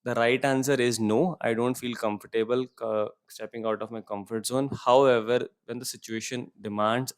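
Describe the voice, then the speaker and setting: Male IELTS coach, Punjabi native, lowish voice, reads out questions then gives plain model answers. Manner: reads each question aloud, then answers in simple, steady sentences. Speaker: IELTS speaking tutor. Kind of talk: exam-prep lesson with model answers.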